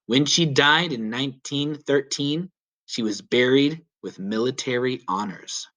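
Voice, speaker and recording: A man reading a children's story aloud as a teacher would, with clear, deliberate, emphatic phrasing. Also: medium pitch, a bit bright. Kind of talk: children's read-aloud narration.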